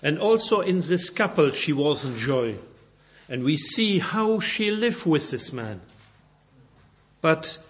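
A man's voice: on the high side, sing-song, slow pace. A Belgian man with a German-speaking accent preaching a sermon in careful, non-native English, often repeating and correcting his words as he reads scripture aloud.

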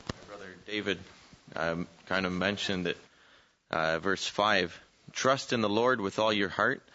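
Man, reading scripture aloud, brief, plain, forceful tone, handheld mic, muffled sound. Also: on the low side. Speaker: Bible study participant. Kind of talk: reading a verse in group Bible study.

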